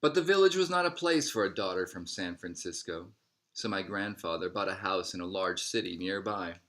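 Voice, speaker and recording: A man reading a picture book aloud as a narrator, in measured phrases that settle at the ends. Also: deep voice, lively intonation, a bit bright. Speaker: storybook reader.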